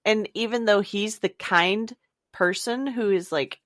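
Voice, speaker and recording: A woman's voice: medium pitch, measured pace, a bit bright, dry recording. Woman co-hosting, close dry sound. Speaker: podcast co-host. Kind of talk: casual book discussion.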